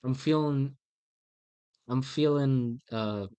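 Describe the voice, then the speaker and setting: A man chatting casually on a live stream while he draws, in a halting, self-mocking way. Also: medium pitch, warm tone, clear, resonant tone.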